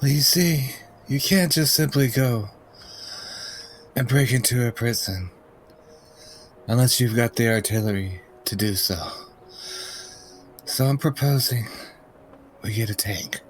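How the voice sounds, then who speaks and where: A man's voice: medium pitch, a little slow, long pauses, faint music behind. A man playing a tabletop role-playing game, switching between improvised in-character talk and narrating his character's actions.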